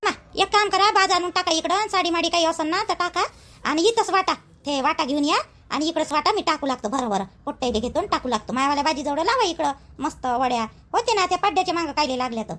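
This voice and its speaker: A chatty rural housewife from a cartoon as acted by a woman, with an exceptionally high, almost childlike voice. She speaks homely Vidarbha Marathi in an expressive gossiping style, stretching out her words. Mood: chatty, evasive. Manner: colloquial, expressive, drawn-out calls.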